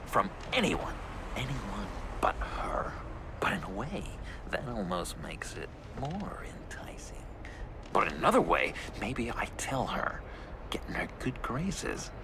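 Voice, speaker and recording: Unhinged lovestruck game villain, slow n creaky, breathy rambling w sudden outbursts. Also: male voice, lowish voice, sing-song, in a whisper. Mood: scheming, musing. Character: lovesick, unstable, eccentric young male criminal. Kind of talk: video game voice acting.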